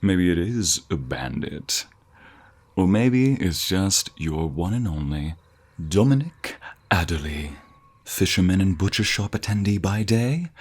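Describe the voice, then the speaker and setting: A man's voice: sits low, slightly rough, exaggerated voice. A man playing a playful, doting scoundrel of a lover in a romantic audio roleplay, teasing affectionately. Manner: teasing, affectionate, chuckling banter.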